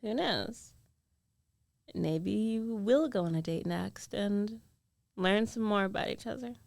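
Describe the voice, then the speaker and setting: Creaky, intimate lovestruck roommate, acted by a woman. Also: mid-range voice, a little mellow, no echo. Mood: tired, soothing. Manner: hesitant, emotional, intimate delivery with trailing pauses. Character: jealous lovestruck young female roommate, guarded yet tender. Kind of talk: girlfriend ASMR roleplay, intimate monologue.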